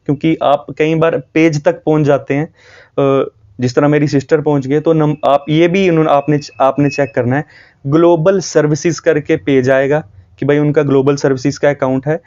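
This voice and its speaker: Higher-voiced North Indian man, slightly muffled sound. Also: fairly even intonation, normal speed, darkish tone. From Jammu, India. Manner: earnest, repeats himself for emphasis. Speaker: video host. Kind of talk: informal explanatory warning to viewers.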